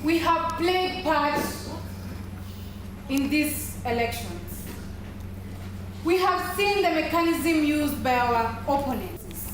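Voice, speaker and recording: A woman's voice: sits high, animated, slow, pauses often, noisy background. A woman playing an earnest student orator in a stage play, rallying young people with emphatic, declamatory speech. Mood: earnest, rousing. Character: earnest young student orator urging fellow youths to fight corruption. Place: stage play in an echoing hall. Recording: echoey hall from far off, music behind.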